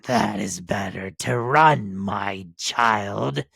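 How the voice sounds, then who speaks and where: A man voicing a cunning, wicked wolf in a fairy tale, with a big rough voice and slow, coaxing, menacing delivery. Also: low-pitched, a bit bright, no echo, studio quality, exaggerated voice.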